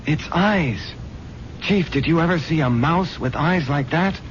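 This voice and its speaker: A man's voice: a little high, measured pace, natural voice. An actor playing an uneasy policeman in a 1970s radio drama. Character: uneasy young policeman, nervous and observant. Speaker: Prouty. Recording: dull old radio with loud hum.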